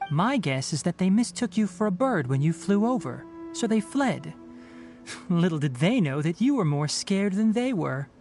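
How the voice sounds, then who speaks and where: A calm young forest ranger from a video game, speaking in a lowish voice with lively rises and falls. He explains the wilderness patiently, like a teacher, with a mild teasing edge. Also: moderate pace, soft background music. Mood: amused.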